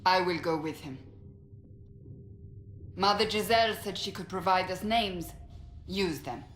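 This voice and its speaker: A woman's voice: fairly low pitch, unhurried, fairly bright tone. An actress playing a blunt, commanding female warrior in a game. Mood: firm. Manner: firm and commanding. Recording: echoey game audio with music.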